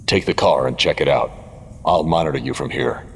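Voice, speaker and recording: An actor playing a stern old retired vigilante mentor in an audio drama, gravelly and terse, giving orders. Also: male voice, a bass voice, a little fast, full character voice. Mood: commanding, resolute. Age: older. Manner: terse, commanding. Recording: roomy reverb over noisy background.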